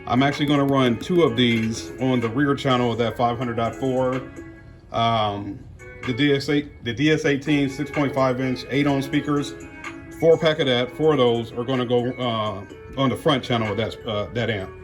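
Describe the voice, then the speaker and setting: American man, medium pitch, folksy slangy delivery, casual product commentary, faint music behind. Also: fairly even intonation, moderate pace. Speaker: car audio vlogger.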